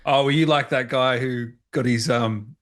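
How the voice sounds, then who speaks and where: A man's voice: medium pitch, fairly even intonation, moderate pace, a bit bright. A man with an Australian accent hosting an interview in an informal, matey, joking way. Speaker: interview host. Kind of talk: casual chat.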